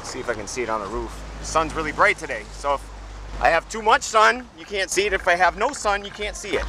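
A man filming a casual car audio vlog outdoors by his van, talking loudly and upbeat to the camera. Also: brisk, background noise. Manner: loud, upbeat, talking over booming bass. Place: outdoors by a van in a parking lot. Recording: loud bass music behind.

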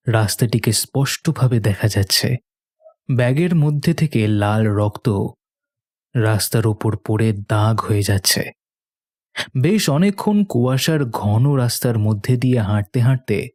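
A man's voice: medium pitch, a little mellow. A man narrating a scripted horror story for a cartoon in a storytelling style. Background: background music.

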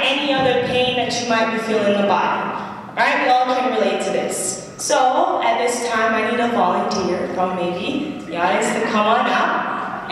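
A young woman giving a live health demonstration, speaking loudly through a handheld microphone and putting questions to the audience. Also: a little high, a little hiss or hum. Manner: projecting, quizzing the audience. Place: large church hall. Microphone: handheld microphone, far camera. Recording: very echoey hall, from far off.

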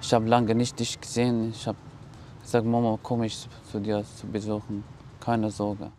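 A young man from Afghanistan, telling his own story in halting, simple German with many restarts. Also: fairly low pitch, completely flat delivery, mellow, soft background music. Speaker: documentary subject. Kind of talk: personal testimony.

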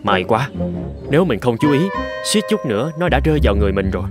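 A man voicing a gentle, courteous young samurai, the considerate eldest son in a cartoon. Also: mid-range voice, very animated, quick pace, lightly acted. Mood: puzzled. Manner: polite, considerate. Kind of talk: dubbed cartoon storytelling. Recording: loud music under the voice.

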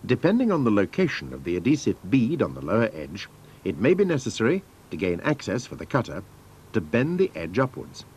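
A man's voice: lowish voice, wildly swooping pitch, brisk, a little mellow, a little hiss or hum. A man narrating scripted instructions for a training film in a formal, methodical manner.

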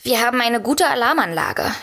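A woman's voice: on the high side, animated, normal speed, a bit bright. An actress playing a composed, sensible but worried woman novelist, explaining things earnestly in an audio drama. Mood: serious.

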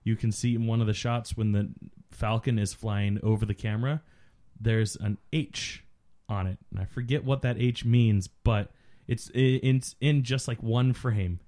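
A man's voice: on the low side, steady tone, moderate pace, few pauses, mellow. A man co-hosting a casual film commentary podcast, chatty and jokey, sharing effects trivia. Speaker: podcast co-host.

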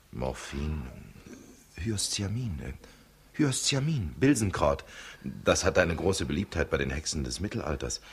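An actor playing a deferential, learned young junior doctor in a 1960s radio crime play, speaking pedantically. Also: male voice, very deep voice, slightly rough, full character voice. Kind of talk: 1960s German-language radio crime drama acting.

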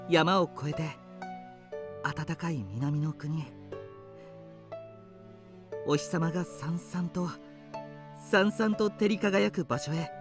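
Earnest young male swallow over music. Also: on the high side. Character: kind, eager young male swallow, devoted friend. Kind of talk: radio drama acting.

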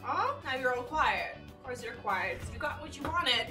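A woman's voice: sits high, expressive, moderate pace, distant mic, over music. A young woman from Serbia chatting casually with her live-stream chat and her dog while playing a game at home.

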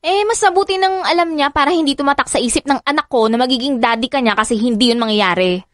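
A woman's voice: sits high, fast, no room sound, clean studio sound, natural voice. An actress playing a hurt, insistent young mother and mistress in a Filipino radio drama, pleading and confrontational. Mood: exasperated.

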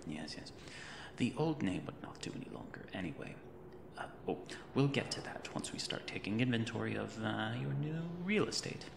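A man's voice: on the low side, gravelly. A man playing a creepy yet cheerful mad reanimator in an ASMR roleplay, talking in a theatrical, fussy, rambling way with polite macabre humour. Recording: roomy echo with noisy ambient backdrop.

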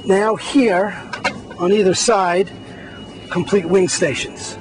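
A man's voice: sits high, steady tone, slow pace, over music. A man, a magazine editor, walking viewers through a boat and pointing out its features one by one in an upbeat tour narration.

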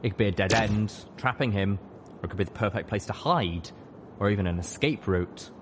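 Guy with a lowish voice narrating a story dramatically, some noise behind him. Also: brisk. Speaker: audiobook narrator. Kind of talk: dramatic scripted fiction narration.

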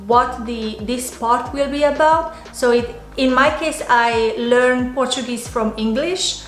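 A young woman with a Hungarian accent talks to camera in a fairly high voice, explaining things patiently, step by step. Her phrasing is slightly non-native. The room is echoey, and faint music plays beneath her. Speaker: YouTuber. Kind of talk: casual to-camera tips vlog. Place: small home office indoors. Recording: roomy home office, faint music.

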